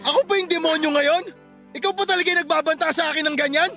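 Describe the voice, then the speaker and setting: A young Filipino man enraged at betrayal in a radio drama. His voice is very high, forceful and full of taunting accusations. Music plays underneath. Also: steady tone, fast, full character voice. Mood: furious, hurt. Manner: shouting, accusing, taunting questions.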